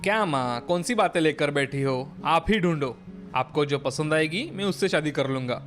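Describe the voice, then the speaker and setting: A man's voice: a little high, expressive, normal speed, fairly bright tone, soft background music. A man playing an agreeable, dutiful family man in a cartoon story. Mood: dutiful, resigned.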